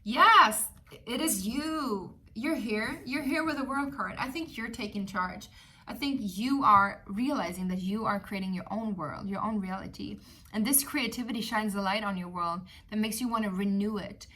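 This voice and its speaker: A woman's voice: medium pitch, moderate pace, sharp tone. A woman with a Swedish accent, Swedish her first language, giving a casual, chatty tarot reading with many fillers. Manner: chatty, rambling, many fillers, laughs often. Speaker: tarot reader.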